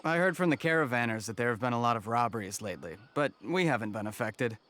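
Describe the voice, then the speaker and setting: A man's voice: medium pitch, animated, fast, fairly bright tone, a little hiss or hum, close to an everyday voice. A man playing a helpful, straightforward forest local explaining things in a video game. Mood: informative. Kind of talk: scripted video game dialogue.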